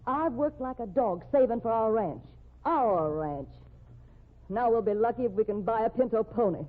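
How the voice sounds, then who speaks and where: An actress playing a worried young woman trick roper in a 1940s radio drama, sharp and fretful. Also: a little high, animated, normal speed. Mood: angry. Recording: tinny old radio broadcast.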